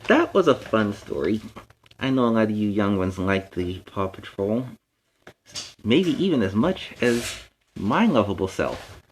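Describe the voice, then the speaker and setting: A man's voice: lowish voice, sing-song, a little slow, slight background noise, poor audio. A man hosting a children's story time, reading aloud with playful, explanatory asides in a superhero persona. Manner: explains words and lessons to young listeners.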